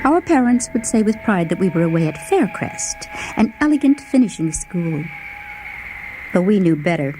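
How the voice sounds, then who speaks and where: A woman's voice: mid-range voice, big pitch swings, normal speed, poor audio. Theatrical woman storyteller over music. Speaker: story narrator. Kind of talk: dramatic first-person short story narration.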